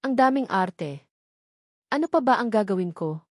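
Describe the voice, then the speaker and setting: A woman's voice: mid-range voice, lively intonation, normal speed, a little mellow, no room sound, natural voice. A curt young Filipino man from a romance audiobook, read by a woman, stern and easily annoyed. The delivery is cold, in a dry recording. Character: cold, irritable young man, reluctant fiancé.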